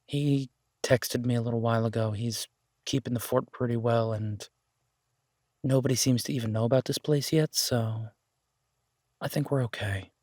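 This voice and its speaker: A man performs an easygoing chef and restaurant owner in an audio roleplay. His medium-pitched voice is husky, with casual, folksy, reassuring delivery. The sound is dry and intimate. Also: steady tone, moderate pace, long pauses, a little mellow, no echo, close to an everyday voice. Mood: relaxed, affectionate.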